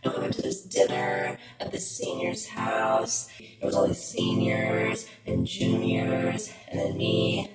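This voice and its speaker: A woman telling a casual personal story, her voice run through a pitch-shifting musical effect. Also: takes it slowly, echoey room, over loud music.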